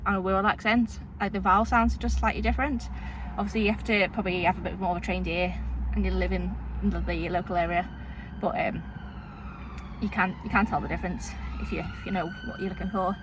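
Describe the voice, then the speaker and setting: A woman with a soft Liverpool accent tinged with Lancashire, vlogging in a chatty, rambling way, full of fillers and little laughs. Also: mid-range voice, big pitch swings, quick pace, noisy background. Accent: soft Liverpool with Lancashire influence. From Liverpool, England. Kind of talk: casual vlog chat. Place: town street, then in a parked car.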